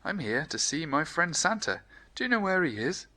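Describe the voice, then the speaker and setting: A man's voice: a little high, very animated, normal speed, pushed and bright, voice pushed up. A man voicing a cheeky, eager little sausage roll in a gleeful, exclamatory storybook manner. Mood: eager, pleading.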